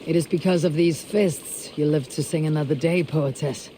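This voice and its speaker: A man's voice: on the high side, steady tone, moderate pace, dark tone, slight background noise, lightly acted, rough recording. A man voicing a terse Viking raider. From Norway. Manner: terse and dry. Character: blunt male Viking raider, dry and tough.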